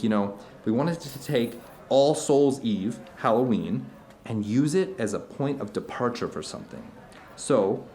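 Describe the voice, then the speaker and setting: A man's voice: mid-range voice, a little mellow, close to an everyday voice. An actor playing an earnest, fervent middle-aged man who tells rambling stories of strange experiences in an audio drama. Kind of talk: audio drama dinner-table monologue.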